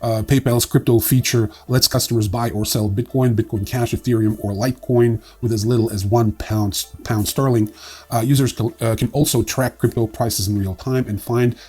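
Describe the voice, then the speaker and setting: A man hosting a casual news roundup in an offhand, wry way, with a husky voice and frequent hesitations. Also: medium pitch, fairly even intonation, normal speed, dark tone. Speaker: newsreel host. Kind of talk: casual crypto news commentary, partly read.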